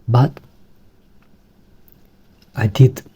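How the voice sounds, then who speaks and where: A man with a Romanian accent, speaking English as a second language, talking through a computer setup in a halting way with many restarts. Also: mellow. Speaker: tech vlogger. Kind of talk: screen-recorded tutorial.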